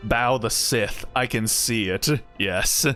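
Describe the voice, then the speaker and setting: A man's voice: on the low side, slow pace, bright, forceful tone, soft background music. Rambling male game streamer. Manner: rambling and self-interrupting, with frequent laughs. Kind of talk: casual game stream chat, reading game text aloud.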